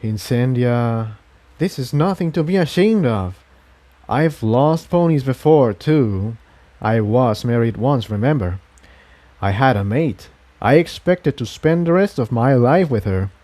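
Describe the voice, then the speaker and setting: A man voicing a kind, steady male pony and loyal friend in a storybook reading, sincere, gentle but firm. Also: medium pitch, unhurried, lightly acted. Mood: warm, consoling.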